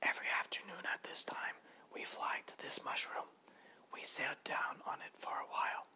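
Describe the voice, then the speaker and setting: Storybook bit: narrator plays fussy butterflies who stick to routine, whispered softly. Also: normal speed. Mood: prim. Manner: prim, set in their ways. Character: three prim whispering butterflies.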